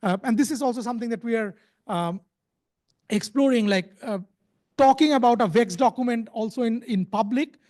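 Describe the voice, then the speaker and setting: Male conference presenter, strikingly high voice, stop-start delivery with many repeated words. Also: darkish tone. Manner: halting, repeats words and restarts phrases often. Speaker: conference speaker, company founder.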